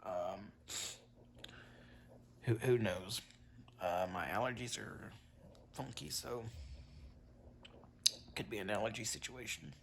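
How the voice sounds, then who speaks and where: A congested man chatting casually to his viewers in a vlog, halting with many fillers and restarts. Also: fairly low pitch, gravelly, slight background noise. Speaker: vlogger.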